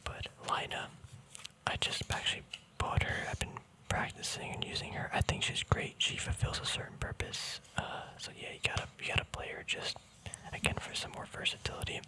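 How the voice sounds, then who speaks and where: A man speaking in a breathy, unvoiced whisper, rambling casually in ASMR style as if thinking aloud. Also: fairly quick, poor audio. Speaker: ASMR video creator. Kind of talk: ASMR, casual rambling commentary on a card deck.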